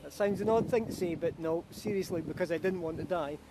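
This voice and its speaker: Chatty middle-aged man outdoors, higher voice. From Kenya. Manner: chatty and self-assured, quick asides. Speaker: paragliding instructor. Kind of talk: casual chat to camera outdoors. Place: outdoors by a beach. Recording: outdoor wind and traffic noise.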